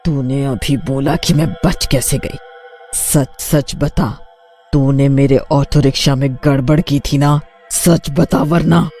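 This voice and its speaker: A man's voice: medium pitch, fairly even intonation, slow, a little mellow, faint music behind. A man voicing a vengeful witch girl who sells street snacks, stern and confrontational, in a cartoon story. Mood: angry, threatening.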